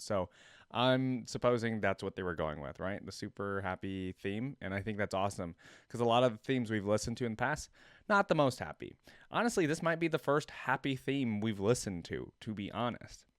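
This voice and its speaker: A man hosting a casual reaction video, talking conversationally and thinking out loud, sometimes reading aloud. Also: lowish voice, no room sound, clean studio sound.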